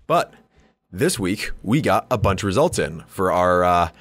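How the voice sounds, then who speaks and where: A man's voice: low-pitched, very animated, measured pace, fairly bright tone, dry recording. A man co-hosting a podcast in casual, joking, sweary banter. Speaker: podcast co-host. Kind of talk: casual banter about tabletop wargaming.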